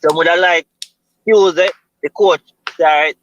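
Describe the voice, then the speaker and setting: Jamaican male caller, higher bright tense voice, dry dull lo-fi line, measured with restarts. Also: fairly even intonation, slight background noise. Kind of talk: casual football talk. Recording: dull lo-fi call audio.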